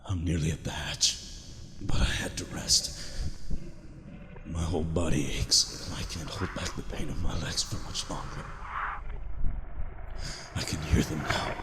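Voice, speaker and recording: Deep, echoing haunted explorer. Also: male voice, little pitch movement. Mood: haunted, unhinged. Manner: halting, haunted, breaks into whispers. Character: weary, terrified male explorer slowly losing his mind. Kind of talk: audio drama monologue, recorded log. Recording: noisy, echoing cavernous reverb.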